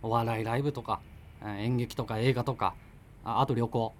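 A man's voice: mid-range voice, fairly even intonation, normal speed, a little hiss or hum, close to an everyday voice. An actor playing an evasive, argumentative boyfriend in his thirties in naturalistic radio drama dialogue, rambling as he justifies himself. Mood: rambling, keen. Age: 30s. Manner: defensive, rambling self-justification. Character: evasive, argumentative thirty-year-old freelancer boyfriend living with parents.

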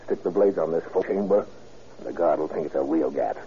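Cocky, sneering old-time gangster. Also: male voice, extremely low pitch, fairly quick, mellow. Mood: sly, confiding. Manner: slangy, sardonic, swaggering. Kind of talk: 1930s radio crime drama acting. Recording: muffled old radio recording, faint noise.

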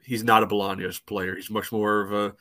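Bright, even-toned man talking soccer. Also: lowish voice, a little fast, dry recording, studio quality. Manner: hesitant phrasing peppered with "you know". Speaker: sports podcast panelist. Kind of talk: casual soccer analysis.

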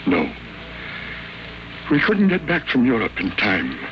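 A man's voice: mid-range voice, wildly swooping pitch, slow pace, fairly bright tone, full character voice. An actor playing a wealthy, suspicious older businessman and father, formal and demanding, in old-time radio drama style. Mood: grave. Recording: faintly noisy old radio recording.

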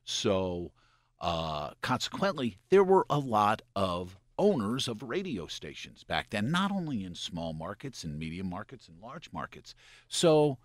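A man in his sixties from Pennsylvania, a radio program director, telling stories in a relaxed, explaining way with a slightly gravelly voice. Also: on the low side, measured pace, no echo, clean studio sound. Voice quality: gravelly from scotch and cigarettes. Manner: relaxed storyteller, explains with asides and "you know". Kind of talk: casual interview storytelling.

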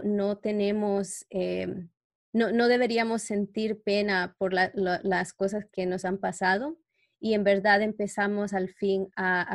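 A middle-aged woman who grew up in New York, talking as an interview guest. Her pitch sits in an ordinary range for a woman. She speaks reflectively and personally, often halting to find the right word. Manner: halting, searches for words in Spanish. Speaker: interview guest, educator for parents who are abuse survivors.

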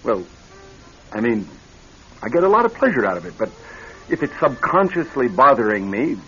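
An actor in a radio drama playing a nervous young married executive troubled by bad dreams, speaking in a halting, earnest way. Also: male voice, medium pitch, big pitch swings, bright, forceful tone, soft background music. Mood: anxious, defensive. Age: young adult. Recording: dull band-limited old radio recording.

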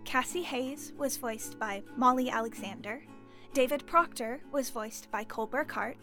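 A woman's voice: fairly high pitch, moderate pace, bright, forceful tone, soft background music. A woman giving a scripted narration as a credits announcer.

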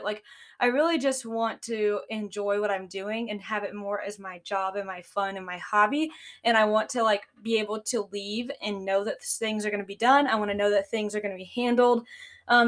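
A young woman hosting her own podcast, talking casually about running a business, with a stuffy, nasal sound and lots of "like" and "um" fillers. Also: mid-range voice. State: congested, nasal. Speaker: podcast host, business owner. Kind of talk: casual solo podcast talk.

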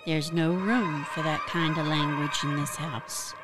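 A woman playing a sweet, slow, nurturing old ghost grandmother with quiet menace in a horror audio drama. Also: sits low, fairly even intonation, music in the background. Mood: sweetly menacing. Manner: slow, genteel scolding.

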